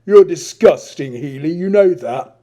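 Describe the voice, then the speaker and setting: A hostile, bullish schoolboy prefect played by a male narrator in a novel reading. He snarls his words bluntly, with a dark timbre and a measured, heavy pace. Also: a little high, lively intonation, dull audio. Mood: disgusted, snarling. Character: hairy, squat, angry bellowing schoolboy prefect.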